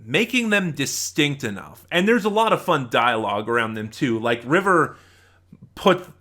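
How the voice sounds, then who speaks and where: A man giving a casual, unscripted review in a bright, forceful voice, speaking enthusiastically and emphatically with a halting flow. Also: mid-range voice, very animated. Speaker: video reviewer.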